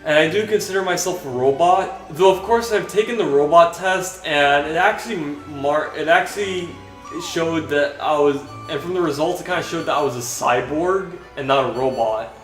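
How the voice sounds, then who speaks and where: A young man with a dry, monotone voice chatting hesitantly while he plays a video game, stumbling through many fillers and restarts. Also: a little high, moderate pace, recorded from a distance. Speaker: vlogger. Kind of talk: rambling chat while playing a video game. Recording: echoey room with game music behind.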